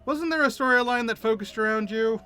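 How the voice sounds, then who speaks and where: A man's voice: very high voice, brisk, sharp tone, clear, resonant tone. A man streaming a game, chatting casually as he comments and reads dialogue, with game music behind him.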